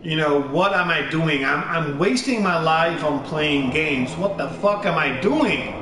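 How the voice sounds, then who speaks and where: Dutch man with a high voice, slow, rambling. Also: bright, forceful tone, slight background noise. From Netherlands. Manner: rambling, swearing, many fillers and restarts. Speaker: gaming channel host. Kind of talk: casual personal monologue. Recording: roomy echo with music underneath.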